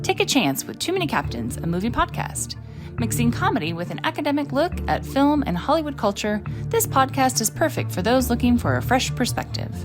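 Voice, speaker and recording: Animated woman narrating a podcast advert. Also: a little high. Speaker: promo narrator.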